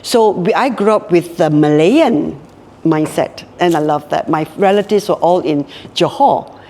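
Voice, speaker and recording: Reflective Singaporean woman, lowish voice. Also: a little slow, dark tone, in a large hall, a little hiss or hum. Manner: reflective, repeats words while thinking. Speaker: guest, hotel group co-founder. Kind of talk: casual interview talk.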